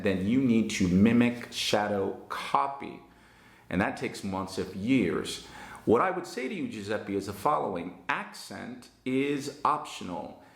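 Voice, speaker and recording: A man with a Northern New Jersey accent, giving an online English lesson in a slow, emphatic and encouraging way. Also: medium pitch, creaky, echoey room. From United States. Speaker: English teacher.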